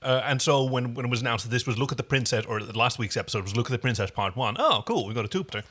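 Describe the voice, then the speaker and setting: Man, medium-pitched voice, podcast co-host, casual chat, engaged and enthusiastic. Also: lively intonation, racing, fairly bright tone, husky. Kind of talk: casual chat about a TV series episode.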